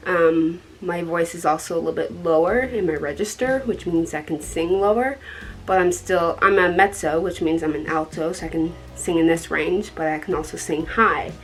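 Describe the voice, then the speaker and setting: A young woman from Colorado with a dark tone, chatting casually to the camera in a rambling way, with many ums and soft laughs. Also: lowish voice, normal speed, faint music behind, low-quality recording. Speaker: vlogger.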